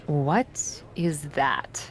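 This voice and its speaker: A woman playing a blunt, proud young pro wrestler in a video game, dry and guarded, quick to bristle. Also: low-pitched, lively intonation, a little hiss or hum, full character voice. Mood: skeptical. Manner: dry, guarded, indignant when pushed. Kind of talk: video game cutscene acting.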